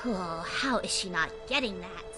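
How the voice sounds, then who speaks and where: A woman playing a blind hooded cultist in a video game, earnest and reverent with a dry deadpan. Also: medium pitch, moderate pace, over music, natural voice.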